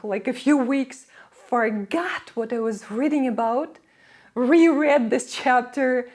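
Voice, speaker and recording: Bright-voiced Russian-native woman teaching English. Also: sits high. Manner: friendly, playful asides. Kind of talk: scripted lesson to camera.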